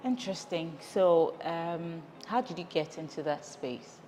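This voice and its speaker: A woman host with a low voice, keeping the conversation going with brief, encouraging replies and simple questions. Her voice has a long room reverb, with a faint hiss behind it. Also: animated, a little slow, warm tone. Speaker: interviewer, host. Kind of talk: conversational interview.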